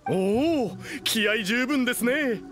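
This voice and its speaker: A man's voice: extremely high pitch, expressive, unhurried, music in the background. A man playing a jovial, persuasive festival host in a Japanese game, with a polite, theatrical salesman's delivery. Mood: showy, welcoming.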